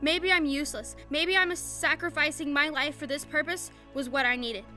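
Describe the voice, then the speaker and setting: A woman playing a self-doubting, battle-worn young woman in an audio drama, with a halting, confessional manner. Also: sits high, fairly even intonation, sharp tone, soft background music. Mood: wounded, confessional.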